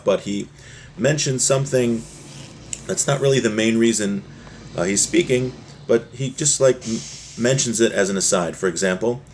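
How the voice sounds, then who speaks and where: A man's voice: lowish voice, slight background noise. A man giving an informal religious text lesson as a teacher, reading and explaining in a conversational way. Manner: conversational, explanatory, acts out short examples. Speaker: Torah teacher.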